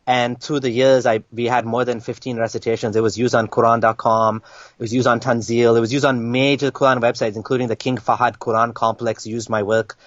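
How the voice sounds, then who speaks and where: A man's voice: mid-range voice, a bit bright, no echo. A young man from Kenya, a guest in an interview, telling his story in casual talk with many restarts and fillers. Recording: dry, dull lo-fi sound.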